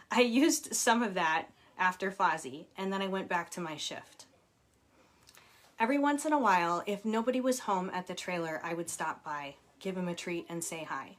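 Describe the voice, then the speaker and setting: A woman's voice: lowish voice, a bit bright. A woman author reading her own book aloud at home, laughing often and breaking off into casual personal asides. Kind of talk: audiobook reading with chatty asides. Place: home with children nearby.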